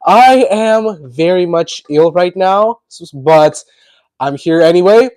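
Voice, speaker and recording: Male streamer, sick voice: congested and hoarse, chatty and rambling, dry close sound. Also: sits high, animated, slow, darkish tone, no echo. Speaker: livestream host. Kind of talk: casual livestream chat.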